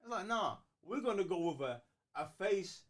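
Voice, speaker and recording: A man's voice: sits high, lively intonation, normal speed. A man with a London accent chatting casually as a co-host, using slang and repeating words as he builds a point. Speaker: co-host of a fan talk show. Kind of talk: casual chat.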